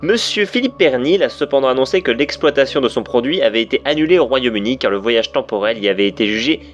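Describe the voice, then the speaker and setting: Newsreader for audio drama, male actor: factual, serious bulletin delivery, medium pitch, faint music bed. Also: expressive, fast, muffled recording, natural voice.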